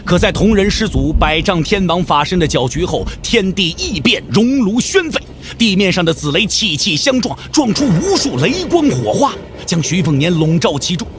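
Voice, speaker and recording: A man's voice: sits high, big pitch swings, normal speed, hardly any pauses, faint music behind. A man giving dramatic scripted narration for an audio drama, theatrical and emphatic.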